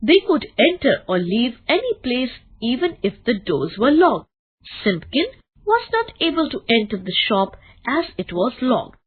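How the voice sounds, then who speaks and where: Woman reading a kids bedtime story, slow n expressive, bit husky, muffled audio. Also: on the high side. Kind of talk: scripted children's bedtime story narration.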